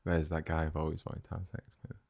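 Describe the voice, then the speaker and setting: Deep-voiced man, teasing banter. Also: monotone, fast talker, vocal fry, a little mellow. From United Kingdom. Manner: teasing, dry jokes, low murmured agreements. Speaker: podcast co-host. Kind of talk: casual chat.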